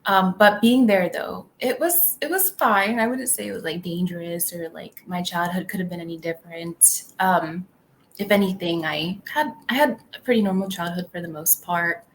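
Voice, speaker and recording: A woman's voice: fairly low pitch, normal speed, slight background noise. A young woman from California whose first language was Tagalog, chatting as a podcast guest and rambling with many fillers. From California, United States. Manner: rambling, heavy on "like" and "um". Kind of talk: casual interview chat.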